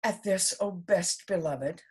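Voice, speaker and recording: A woman reading a children's story aloud as storyteller, with theatrical, deliberate emphasis. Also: lowish voice, a little mellow, breathy edge.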